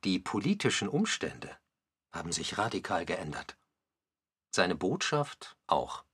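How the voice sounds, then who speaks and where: A man's voice: low-pitched, very animated, a little slow, a bit bright, husky. A man reading scripted narration for a radio documentary drama.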